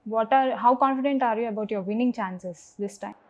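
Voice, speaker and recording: A woman's voice: on the high side, a little fast, darkish tone. A woman, politely asking interview questions and addressing her guest as sir. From India.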